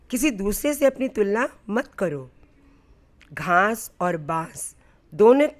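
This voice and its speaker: Woman voicing a patient, unhurried God giving counsel. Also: medium pitch. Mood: encouraging. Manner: patient, reassuring, instructive. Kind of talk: storybook narration.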